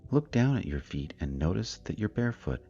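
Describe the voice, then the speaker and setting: A man narrating a scripted guided meditation in a calm, soothing, deliberate manner. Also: low-pitched, dull audio. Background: meditation music with singing bowls.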